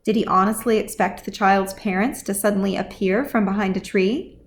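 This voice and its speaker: Woman, audiobook narrator, fantasy storytelling, medium pitch, scripted reading. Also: steady tone, a little fast. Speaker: author reading their own audiobook.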